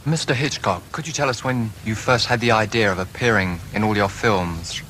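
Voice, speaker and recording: A man's voice: on the low side, moderate pace, bright, forceful tone. A man working as interviewer, putting polite questions in an archive on-stage interview. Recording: faintly noisy archive recording.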